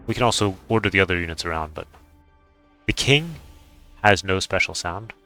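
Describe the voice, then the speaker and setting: A man's voice: sits low, expressive, normal speed, bright, forceful tone, soft background music. A man giving casual, unscripted commentary on a game, rambling in an offhand way as he thinks aloud. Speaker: gaming video creator.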